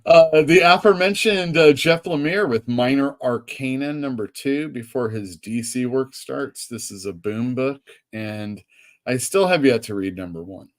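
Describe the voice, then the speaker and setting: A man hosting a casual chat as a comic shop owner, talking in a relaxed way that often trails off mid-sentence. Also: medium pitch, unhurried. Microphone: streaming setup microphone.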